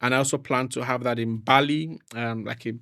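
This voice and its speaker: Brief: Nigerian-accented man, podcast guest, quick talker, laughs easily, middle-pitched voice. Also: vocal fry. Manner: fast-talking, laughs often, animated storytelling. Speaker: real estate professional, podcast guest. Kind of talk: casual podcast chat.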